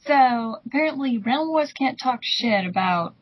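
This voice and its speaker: A woman's voice: fairly high pitch, lively intonation, unhurried, husky. A woman giving blunt, scornful and dismissive casual commentary as a co-host, on a dull lo-fi microphone. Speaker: co-host commenting on online arguments.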